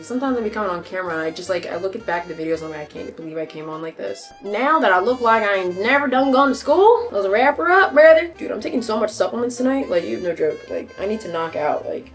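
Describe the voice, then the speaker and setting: A woman in her twenties chatting casually to viewers as a lifestyle vlogger, playful and self-deprecating, with slangy talk and frequent swearing. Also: lowish voice. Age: 20s.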